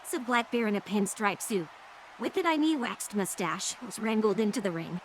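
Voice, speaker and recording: A woman's voice: mid-range voice, moderate pace, heavy background noise. A woman's synthetic text-to-speech voice reading scripted third-person story narration.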